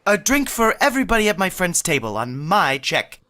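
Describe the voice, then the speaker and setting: A man's voice: sits high, big pitch swings, fairly quick, sharp tone, heavily acted. An actor playing a boastful, easily flustered working-class man who blusters and stammers when he gets nervous, in vintage radio comedy style.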